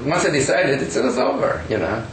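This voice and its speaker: A man's voice: fairly high pitch, wildly swooping pitch, normal speed, gravelly. A man being interviewed, talking emphatically with many fillers. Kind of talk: casual recounting. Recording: echoey room, distant mic, faint noise.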